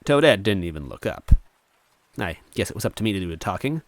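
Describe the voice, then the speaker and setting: A man's voice: deep voice, very animated, fast, no room sound. A man narrating first-person fiction expressively, in a conversational, wry manner. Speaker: story reader.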